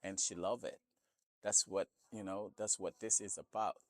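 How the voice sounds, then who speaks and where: A man's voice: low voice, steady tone, moderate pace, dry recording. A man from Senegal with a Senegalese accent, a non-native English speaker, answering casually in an interview and often adding "you know". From Senegal, West Africa. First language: not English. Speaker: store owner being interviewed.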